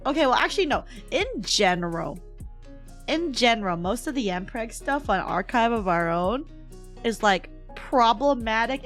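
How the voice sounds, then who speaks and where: A woman with a fairly high voice that is hoarse and cracks as it gives out. She talks at an unhurried pace, playful and giggly, as she hosts a casual livestream and answers chat. Music plays behind her. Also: fairly bright tone. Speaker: livestream host, VTuber. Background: background music.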